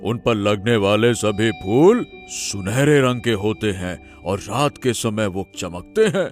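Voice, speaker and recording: A man voicing a protective, fatherly village headman who explains things in an animated moral story. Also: on the low side, takes it slowly, soft background music. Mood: explaining.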